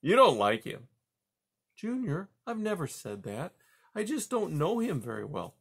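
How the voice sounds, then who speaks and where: A man plays an anxious, gentle mother mouse from a children's storybook. The voice sits high and swings up and down. The manner is polite, earnest and a little hurt. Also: wildly swooping pitch, a little slow, gravelly, husky, exaggerated voice. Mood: patient, reproving.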